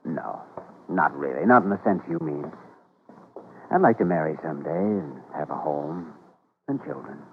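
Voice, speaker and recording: An actor playing an earnest, easygoing young male doctor in an old-time radio drama, in a gentle conversational manner. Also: rumbling low, expressive, moderate pace, long pauses, breathy edge, no echo, heavily acted. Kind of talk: 1940s-50s radio drama acting. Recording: tinny old radio recording, music behind.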